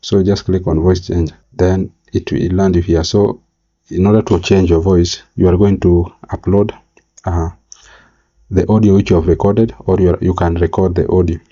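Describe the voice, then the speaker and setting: Very deep, flat-voiced man. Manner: halting, step-by-step explaining. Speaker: tutorial presenter. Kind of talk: screen-recorded software tutorial narration. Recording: slightly dull, lo-fi mic.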